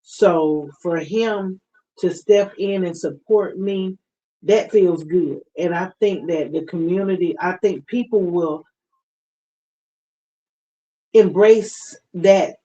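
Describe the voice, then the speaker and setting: Soft-spoken lady guest, slow and warm, heartfelt, kinda muffled audio. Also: fairly low pitch. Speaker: guest, parent advocate. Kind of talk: interview talk.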